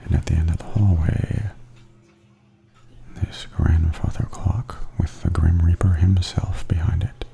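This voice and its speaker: A man giving softly spoken ASMR tour commentary in a breathy, often near-whispered voice, gentle and observational. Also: sits low, measured pace. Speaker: vlogger giving a walking tour. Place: walking through a theme park and its indoor attractions. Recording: steady background noise and ambience.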